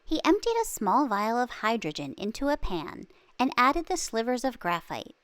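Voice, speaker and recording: A woman reading a children's story aloud expressively, with dramatic pauses and emphasis. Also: fairly high pitch, very animated, a bit bright, no room sound. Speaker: children's book reader.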